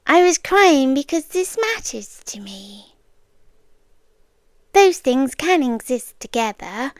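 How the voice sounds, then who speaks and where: Woman acting as obsessive British yandere girlfriend, ASMR roleplay, high voice, unhurried, intimate, often whispery, calmly possessive. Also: no echo, studio quality, full character voice. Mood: devoted, intense.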